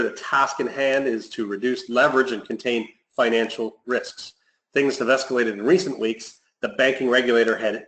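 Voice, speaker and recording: A man hosting a weekly investment conference call, reading market commentary in a measured way with frequent ums and restarts. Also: mid-range voice, fairly bright tone, poor audio. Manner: measured, reads notes with frequent fillers and restarts. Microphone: phone or headset on a conference call.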